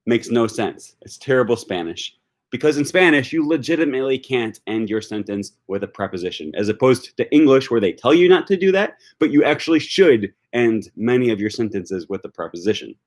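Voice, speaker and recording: A man's voice: mid-range voice, very animated, a little fast. A man from Wisconsin with a Wisconsin accent, chatting casually on a livestream and answering viewer comments, thinking aloud with frequent fillers and restarts. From Wisconsin, USA. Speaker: language-learning YouTuber answering live chat.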